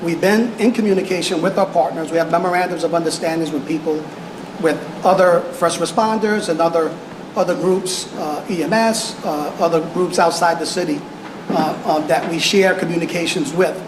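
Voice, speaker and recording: Halting male official in an echoey room. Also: a little high, fairly even intonation. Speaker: police official giving press conference. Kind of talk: press conference answers. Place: indoor press conference room. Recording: echoey noisy press conference room.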